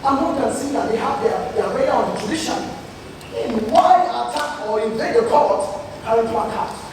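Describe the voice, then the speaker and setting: A man's voice: very high-pitched, lively intonation, brisk. An actor playing a sarcastic, aggrieved prosecutor in a satirical stage play, a man with a Nigerian accent arguing pompously and emphatically. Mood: disdainful. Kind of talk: projected theatrical courtroom argument. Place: large theatre stage, picked up from afar. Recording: far-off echoey hall recording, noisy.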